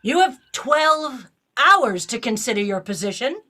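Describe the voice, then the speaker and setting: An actress playing an authoritative, guarded female starship captain in an audio drama, giving crisp, imperious orders. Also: high voice, very animated, a little slow, pushed and bright, exaggerated voice. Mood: stern, defiant.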